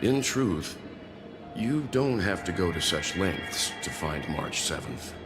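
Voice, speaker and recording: A calm, ominous adversary in an anime game. His deep voice moves slowly with expressive swings. Clear music plays underneath. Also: exaggerated voice.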